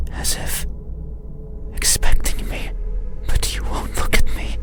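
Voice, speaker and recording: A melancholy, yearning monster played by a man whispers close in a horror ASMR game. The delivery is breathy and very slow, with halting, brooding phrases. Loud, eerie music plays behind it. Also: close to an everyday voice. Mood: pleading, eerie. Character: lonely, yearning nocturnal creature, melancholy and menacing. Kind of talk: whispered ASMR horror monologue, visual novel.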